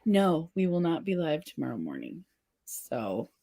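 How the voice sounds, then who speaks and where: A woman's voice: deep voice, wildly swooping pitch, a little slow, creaky, dark tone, husky, dry recording, clean studio sound. A woman hosting a casual livestream, chatting and reading viewer comments aloud. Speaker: livestream face paint host.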